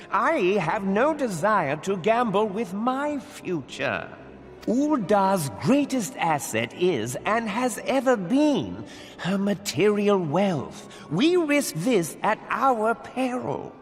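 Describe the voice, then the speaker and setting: An actor playing a scheming, wealthy merchant lord with a pompous, sarcastic manner. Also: male voice, sits high, wildly swooping pitch, slow, big-room sound, soft background music, heavily acted. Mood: disdainful. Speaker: game character.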